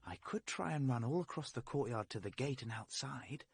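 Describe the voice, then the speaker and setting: An actor playing an anxious, self-doubting British fugitive who talks agitatedly to himself in a vintage radio drama. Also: male voice, medium pitch, animated, brisk, creaky, breathy edge, no room sound, full character voice. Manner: agitated, self-questioning monologue. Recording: noisy vintage radio play recording.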